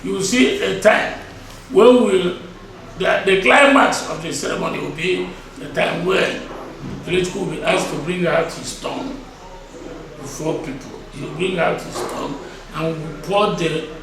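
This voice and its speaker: A man of about seventy with a Nigerian Igbo accent, answering interview questions as a chief in a deliberate, emphatic way, repeating his phrases. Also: on the high side. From Nigeria (Igboland). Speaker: traditional title chief being interviewed. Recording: echoey, distant mic, crowd noise behind.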